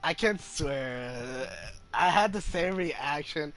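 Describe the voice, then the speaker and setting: A male gaming streamer giving casual playthrough commentary, halting, with non-standard grammar. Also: fairly high pitch, lively intonation, a bit bright. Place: game commentary over game audio.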